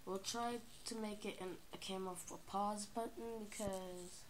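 A woman's voice: medium pitch, steady tone, moderate pace, a little hiss or hum, low-quality recording. A woman talking casually to viewers of her toy video, rambling and hesitant, recorded on a phone camera in a carpeted room. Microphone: phone camera near the floor.

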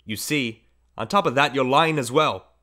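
A man's voice: medium pitch, pushed and bright, natural voice. A man playing a stern scolding father. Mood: accusing. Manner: scolding, measured and firm. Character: stern middle-aged father, a prosecutor.

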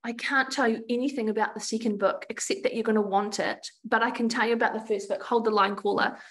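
A woman's voice: on the high side, fairly even intonation, fast talker, fairly bright tone. New Zealand woman chatting on a video call. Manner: chatty, frank, many restarts. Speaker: podcast guest, business coach. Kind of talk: casual video call interview. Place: home room with door and painting. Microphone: video call webcam.

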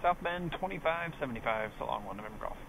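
A man's voice: on the high side, lively intonation, quick pace. A man, a pilot, making clipped, procedural radio calls on an aviation headset. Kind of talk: air traffic radio calls. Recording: loud noise on cockpit radio.

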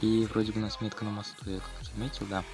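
A man's voice: on the low side, little pitch movement, quick pace, over music. A man giving casual, slangy running commentary on his gameplay for viewers, as host of a gaming video.

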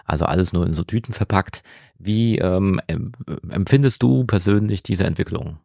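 A man hosting a conversational interview, explaining things hesitantly with many filled pauses. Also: sits low, creaky, a little mellow, no room sound, clean studio sound. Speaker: interviewer, podcast host.

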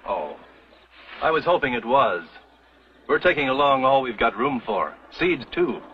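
An actor playing a stern, devout pioneer church elder who speaks in a solemn, firm way. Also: male voice, medium pitch, big pitch swings, a little slow. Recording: dull old TV soundtrack with faint hiss.

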